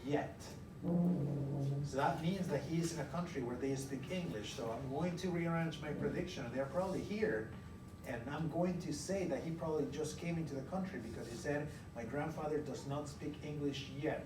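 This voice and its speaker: A man teaching a class through an interactive read-aloud, pausing to question and explain as he goes. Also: on the high side. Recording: echoey room, far from mic, loud noise.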